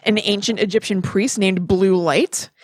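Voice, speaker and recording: A woman's voice: mid-range voice, fairly even intonation, moderate pace, a little mellow, no room sound. A woman co-hosting a podcast, telling stories in a casual, chatty way and correcting herself as she goes. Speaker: podcast co-host. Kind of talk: casual conversational storytelling.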